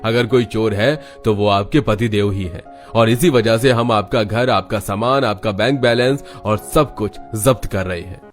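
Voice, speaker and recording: A man playing a smug, cold, accusing company boss in an animated melodrama. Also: lowish voice, moderate pace, few pauses, soft background music, lightly acted. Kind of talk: animated Hindi family story acting.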